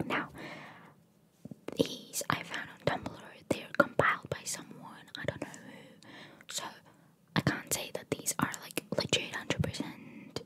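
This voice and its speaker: A woman whispering very close to the microphone as an ASMR host, reading trivia aloud with casual, rambling asides and softly repeated phrases. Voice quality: breathy unvoiced whisper. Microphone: very close microphone, cupped by hand.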